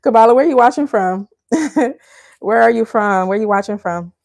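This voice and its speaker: A woman's voice: fairly high pitch, moderate pace, darkish tone, no room sound, clean studio sound. A woman from Liberia hosting a live cooking stream, chatting casually with viewers and calling them y'all. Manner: chatty, addresses viewers as y'all, laughs often. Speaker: cooking channel host.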